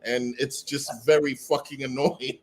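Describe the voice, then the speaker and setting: A man's voice: medium pitch, level tone, measured pace, low-quality recording. A man co-hosting a casual chat, opinionated and swearing often. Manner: opinionated, profane, laughing.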